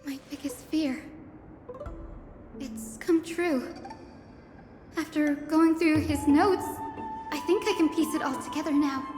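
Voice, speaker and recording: A woman voicing an earnest, sharp-minded young investigator in a video game, reasoning aloud in a deliberate, dramatic way. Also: high-pitched. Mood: grave, worried. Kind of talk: scripted video game voice acting. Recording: echoey hall reverb under loud music.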